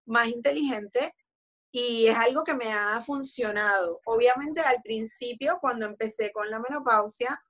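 A woman, explaining her routine in a relaxed, conversational way full of fillers. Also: on the high side, a little slow. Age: 40s. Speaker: guest. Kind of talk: casual conversation about fitness.

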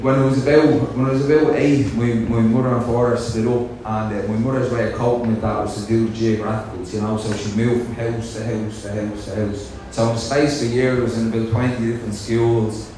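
A man's voice: on the low side, darkish tone, distant mic, slight background noise. A young man with a Dublin Irish accent telling his own recovery story from a stage, nervous and self-joking, with lots of fillers. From Dublin, Ireland. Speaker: speaker sharing his story at a convention. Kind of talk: personal recovery talk. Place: large hall on stage. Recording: echoey hall, picked up from afar.

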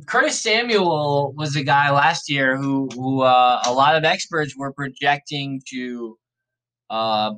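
A man hosting a podcast, thinking aloud in a casual, rambling way, his voice a little raspy and worn. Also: a little high, fairly bright tone, rough recording. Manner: rambling, laid-back, self-correcting. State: slightly raspy, tired voice. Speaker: podcast host. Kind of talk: casual thinking aloud during a fantasy draft.

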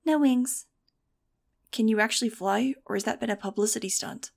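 A woman's voice: on the high side, moderate pace, vocal fry. A woman voicing pushy, inquisitive press reporters in an audiobook, calling out prying questions.

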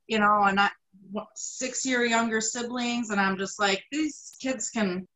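Woman, 30s, upstate New York, relaxed chatty guest. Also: on the high side, unhurried, low-quality recording. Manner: relaxed storytelling with frequent ums. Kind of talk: casual interview chat.

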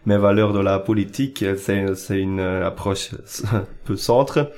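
A young man from German-speaking Belgium, whose first language is German, talks politics in a low voice. He pauses often, fills gaps with hesitations and restarts his phrases while searching for words. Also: a little mellow. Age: young adult. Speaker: podcast guest. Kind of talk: political podcast discussion.

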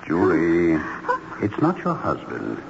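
An actor playing a courteous, melancholy male ghost in an old radio mystery, with a spooky voice and formal, deliberate speech. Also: sits low, huge pitch swings, gravelly, exaggerated voice. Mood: gentle, confiding. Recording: muffled, noisy old radio recording with echo.

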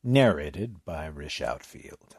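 A man narrating a story in an audiobook read, his voice moving lively up and down. He reads slowly and carefully. The sound is dry and close. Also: extremely low pitch, breathy edge, no room sound.

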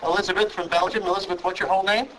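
This is a man with a Brooklyn accent who talks quickly and sits a bit high in pitch. He hosts an interview, asking friendly questions and often restarting his sentences. A faint hiss runs behind his voice. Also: fairly even intonation, pushed and bright, rough recording. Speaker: talk show host. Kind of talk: interviewing guests.

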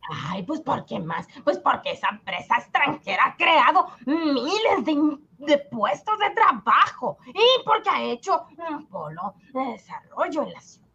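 A woman's voice: high-pitched, big pitch swings, measured pace, gravelly, hardly any pauses, bright, forceful tone, muffled recording, exaggerated voice. A woman playing a smug, dismissive government minister in a radio drama, drawling with exasperated condescension. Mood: condescending, exasperated.

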